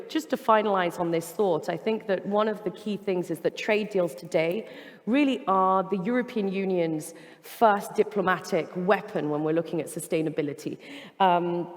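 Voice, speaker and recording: A woman speaking on a panel about trade policy, often pausing with filler sounds and restarting her sentences. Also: lowish voice, darkish tone, long reverb tail. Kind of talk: panel talk.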